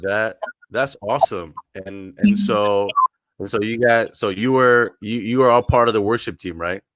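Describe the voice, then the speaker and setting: A man's voice: lowish voice, moderate pace, fairly bright tone, no room sound. A man co-hosting a casual interview, asking playful questions and often restarting his phrases. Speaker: co-host.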